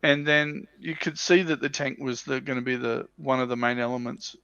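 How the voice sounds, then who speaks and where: A man's voice: medium pitch, flat delivery, moderate pace, bright, forceful tone, clean tone, no room sound, muffled recording. A man chatting casually about his hobby as a panel member on a livestream.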